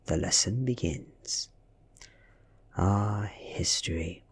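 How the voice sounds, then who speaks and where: A man with a British accent softly reads a story in ASMR style, breathy and often slipping into a whisper, with measured, dramatic delivery. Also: low-pitched. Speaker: ASMR reader. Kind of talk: soft-spoken ASMR audiobook reading.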